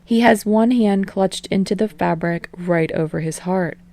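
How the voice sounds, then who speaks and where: A woman narrating a fan-fiction story aloud, in an even, casual reading voice. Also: mid-range voice, unhurried. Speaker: podfic reader.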